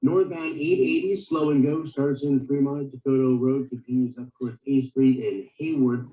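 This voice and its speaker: A man's voice: mid-range voice, steady tone, normal speed, mellow, echoey room, dull audio. A man reading a traffic report as a radio reporter, heard through a distant, noisy playback.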